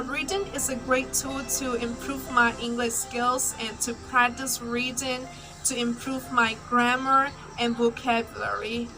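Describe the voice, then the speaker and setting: A young woman from Myanmar with a bright, high voice, whose first language is Burmese. She talks in an easy, chatty vlog manner, leaning on fillers like "like." Soft music plays faintly underneath. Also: poor audio. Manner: chatty, uses many fillers like "like". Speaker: language-learning vlogger. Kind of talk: casual personal vlog.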